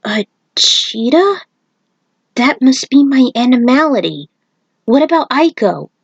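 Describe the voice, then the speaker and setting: Woman as a young male warrior, blunt, groggy, slightly raised pitch, dry close sound, story reading. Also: sing-song, takes it slowly, creaky, husky, dry recording, dull audio, full character voice. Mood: surprised. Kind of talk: character voice in fan fiction reading.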